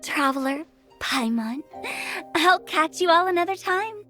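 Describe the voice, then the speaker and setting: Cheeky scheming game girl, young funeral boss, high voice, wry and theatrical, faint music. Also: lively intonation, unhurried, pushed and bright, full character voice. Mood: playful challenge. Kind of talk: video game voice acting.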